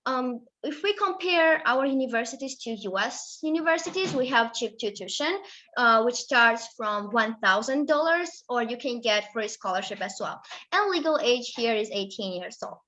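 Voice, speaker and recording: A young woman from Georgia, just out of school, presenting her country in English with a Georgian accent, halting and full of fillers and self-corrections. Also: a little high, animated, pushed and bright, poor audio. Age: late teens. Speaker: student presenter.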